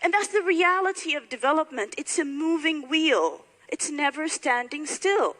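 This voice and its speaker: A woman's voice: sits high, expressive, moderate pace, fairly bright tone. A woman from Somalia giving a public speech to graduates, speaking emphatically and building points through rhetorical repetition. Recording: hall reverb, faint music.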